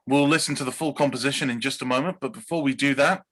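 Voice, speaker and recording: A man's voice: mid-range voice, fast talker, fairly bright tone. A man from Bedfordshire with an English accent, reading scripted podcast narration as a guest composer. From Bedfordshire, England.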